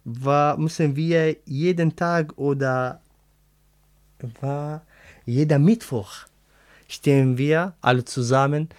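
A man with an Arabic accent, a native Arabic speaker, answering interview questions in halting, word-searching German. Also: on the high side, steady tone, a little mellow, dry recording, studio quality. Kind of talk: casual interview.

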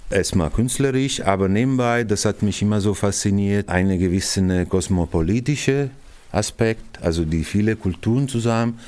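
Deep-voiced Italian man, halting German. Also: a little mellow. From Italy, Naples. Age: middle-aged. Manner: hesitant, searching for words, frequent fillers. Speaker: artistic director, multimedia artist. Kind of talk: interview answer addressed to young listeners.

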